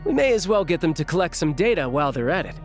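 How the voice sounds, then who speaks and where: A confident young man in a video game talks in a fairly high, animated voice. He sounds breezy, self-assured and persuasive, over faint music. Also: fast.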